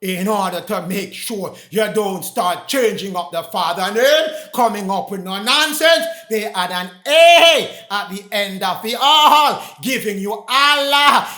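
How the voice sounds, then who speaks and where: A man delivering a fervent, confrontational sermon, emphatic and repetitive, addressing his listeners directly and often raising his voice to a shout. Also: high voice, unhurried, few pauses. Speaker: preacher. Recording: echoey, roomy sound.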